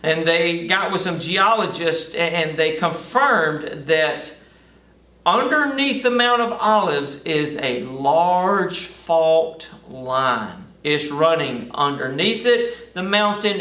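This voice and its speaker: A middle-aged man, a pastor giving an earnest Bible study sermon and prayer with deliberate pauses. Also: a little high, animated, pushed and bright, recorded from a distance. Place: indoor studio or stage with lit backdrop. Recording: roomy, echoing, off-mic sound.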